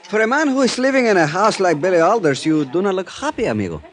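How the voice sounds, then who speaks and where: An actor with a Spanish accent playing a genial, sly Venezuelan police chief in a golden age radio drama, leisurely and teasing. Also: male voice, high-pitched, big pitch swings. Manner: leisurely, teasing, courtly, halting phrases.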